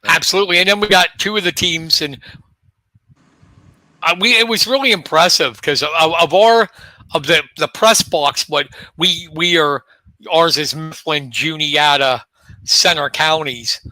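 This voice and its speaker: Male co-host, slightly high voice, easygoing sports chat, dry close mic. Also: measured pace, no echo, poor audio. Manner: leads the rundown of teams, relaxed and chatty. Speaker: co-host of a local sports show. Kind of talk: casual sports talk.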